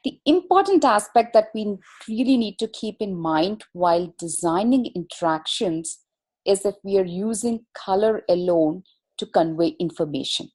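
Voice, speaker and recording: A woman with a medium-pitched voice gives an instructional webinar talk. She explains each point in a measured, formal way, as an expert presenter. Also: animated, darkish tone, husky.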